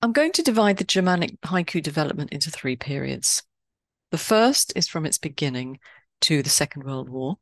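A woman hosting a podcast, reading a scripted, explanatory talk in a measured way and lifting her pitch on key words. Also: sing-song, no echo. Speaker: podcast host.

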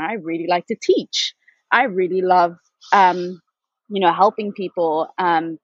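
A woman's voice: on the low side, slightly rough, no echo, highs cut off. A woman in her thirties from Spain, talking in a chatty, rambling way as a podcast guest. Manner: chatty, rambling, with frequent ums and laughs. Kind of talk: conversational interview.

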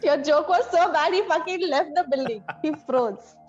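A woman's voice: high voice, moderate pace, darkish tone. A woman casually cutting in with blunt questions and comments, on dull lo-fi audio. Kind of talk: casual interjecting listener chat. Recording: dull, lo-fi, distant sound with music.

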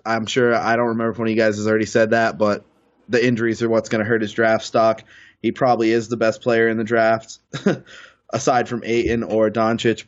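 A man's voice: on the low side, no echo. A man giving casual draft analysis on a podcast, laid-back and a little hesitant. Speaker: podcast panelist. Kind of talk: casual sports draft chat. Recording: dull lo-fi recording.

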